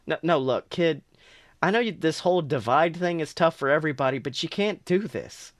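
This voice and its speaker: A world-weary heroine talks earnestly and steadily, as a man puts her on. His voice sits a little high, and the recording is dry and close. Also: lively intonation, normal speed, dry recording.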